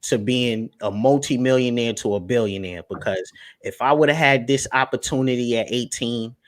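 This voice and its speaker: A man's voice: medium pitch, normal speed. A young man talking casually and emphatically on a livestream, repeating words as he makes his points. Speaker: guest speaker on finance.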